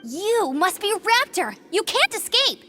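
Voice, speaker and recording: A woman's voice: extremely high pitch, big pitch swings, a little slow, over music, full character voice. An actress playing a spirited, determined young female knight scout in a video game. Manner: eager and determined.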